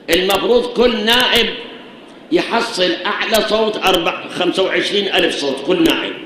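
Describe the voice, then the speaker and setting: A man with an Iraqi Arabic accent preaches earnestly, firing rhetorical questions at his listeners. His voice sits a little high and sounds tired. He is recorded in a hugely reverberant shrine hall with a faint hiss of noise underneath. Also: fairly bright tone. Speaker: cleric preaching. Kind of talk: sermon.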